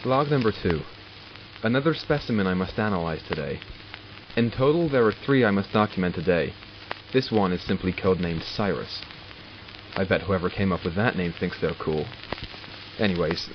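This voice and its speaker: A man playing a curious, wry doctor in an audio drama, dictating log entries in a measured, formal, dryly sardonic way. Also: low voice, a little hiss or hum, lightly acted. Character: male doctor logging studies of supernatural captives, curious and wry. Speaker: voice actor. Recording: dull lo-fi recording with music behind. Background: music.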